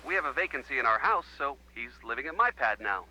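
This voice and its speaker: An actor playing an upbeat, earnest college-age American boyfriend chatting on a phone call in a video game. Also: male voice, mid-range voice, expressive, fast talker, pushed and bright. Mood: amused. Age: young adult.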